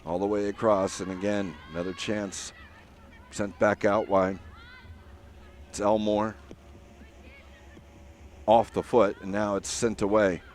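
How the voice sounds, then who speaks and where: Low-voiced man calling a soccer match. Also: slow pace, long pauses. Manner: steady, filler-heavy play-by-play with frequent "uh". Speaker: play-by-play commentator. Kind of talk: live soccer commentary.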